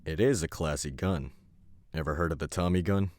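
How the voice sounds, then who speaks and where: A man playing a blunt, tough fighter who knows his guns, with a gruff, clipped delivery. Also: rumbling low, normal speed, a little mellow, no echo.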